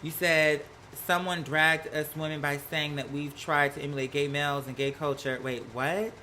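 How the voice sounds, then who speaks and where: A man's voice: fairly high pitch, steady tone, moderate pace, pushed and bright, slight background noise. An American man hosting a livestream, chatting casually and giving opinions in an emphatic, slangy, conversational way. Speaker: livestream host. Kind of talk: casual opinionated chat to live viewers.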